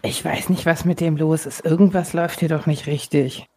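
Low, creaky-voiced woman at game. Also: steady tone, normal speed, darkish tone. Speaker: tabletop roleplaying player. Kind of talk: casual roleplay and table talk.

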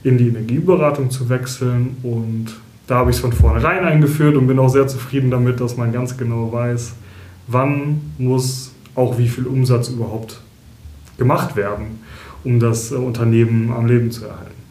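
A man as an interview guest, explaining calmly and steadily with some hesitations. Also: mid-range voice, moderate pace, echoey room. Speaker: interview guest, energy consultant. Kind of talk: podcast interview.

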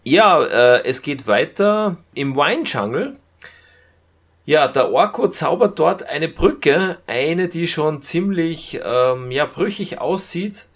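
A man casually reviewing as a podcast co-host, retelling scenes in a halting way. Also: a little high, expressive, breathy edge. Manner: halting retelling with acted-out quotes.

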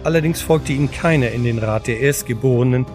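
A man with a mid-pitched voice narrates an audio drama from a script. His delivery is measured and solemn, with music playing behind him. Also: a little mellow.

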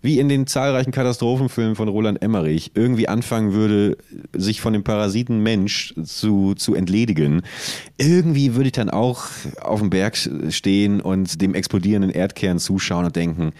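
A man's voice: fairly low pitch, moderate pace, mellow, no echo, studio quality. A man co-hosting a casual comedic podcast, rambling through absurd ideas in an ironic way. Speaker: podcast co-host.

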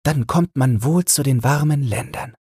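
A man voicing a caring, matter-of-fact mother in a bedtime fairy tale, soft and unhurried. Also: mid-range voice, slightly rough, no room sound, clean studio sound, pitched above the performer's own voice. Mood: soothing.

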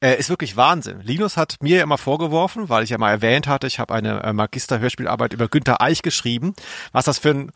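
A man's voice: mid-range voice, lively intonation, fast, vocal fry, fairly bright tone, no room sound. A man bantering casually as a podcast co-host in a dry, teasing way.

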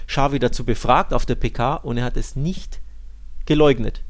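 A man's voice: medium pitch, moderate pace. A man co-hosting a football podcast, talking casually and retelling what others said in quoted back-and-forth. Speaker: podcast co-host.

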